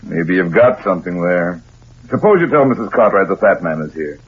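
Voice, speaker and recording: A big hard-boiled sleuth in a radio noir, low-voiced and wry. He speaks in cool slangy lines through a tinny vintage recording. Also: animated, a little fast, slightly rough, exaggerated voice. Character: heavyset hard-boiled private detective, wry and unflappable. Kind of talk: old-time radio noir narration and dialogue.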